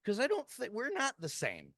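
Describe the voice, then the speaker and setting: A man's voice: extremely high pitch, huge pitch swings, normal speed, fairly bright tone, no echo, studio quality. A middle-aged man hosting a wrestling podcast, ranting profanely and laughing often. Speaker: podcast host. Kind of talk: casual wrestling podcast chat.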